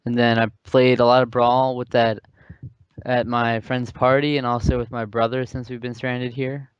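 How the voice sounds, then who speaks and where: A young man co-hosting a casual podcast chat, teasing and quick to contradict his friend. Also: mid-range voice, little pitch movement, clean tone, no echo. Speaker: podcast co-host. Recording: dry, dull lo-fi sound.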